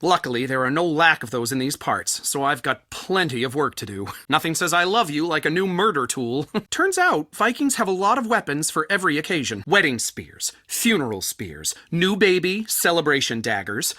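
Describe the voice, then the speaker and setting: A man's voice: a little high, big pitch swings, moderate pace, few pauses, bright, forceful tone, dry recording, clean studio sound, exaggerated voice. A man playing an eccentric, anxious, bookish museum curator in a video game, with a theatrical, wordy and wryly dramatic delivery. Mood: gleeful, quirky. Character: eccentric, bookish, anxious male culture center curator Soren.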